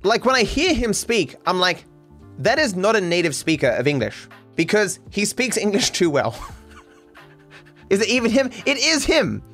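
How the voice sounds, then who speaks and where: A man rambles through a solo podcast, catching himself and restarting mid-thought. His voice sits a little high and jumps up and down as he talks. Faint music plays underneath. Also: sing-song, fairly bright tone. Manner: rambling, halting restarts, wide pitch swings. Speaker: podcast host.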